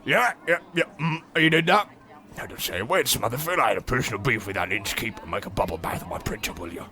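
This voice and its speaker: A man's voice: medium pitch, expressive, fast, bright, forceful tone. A man playing a cocky, chatty little monstrous chimney sweep in an old-time radio horror comedy, telling tales with swagger and British slang. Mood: brash.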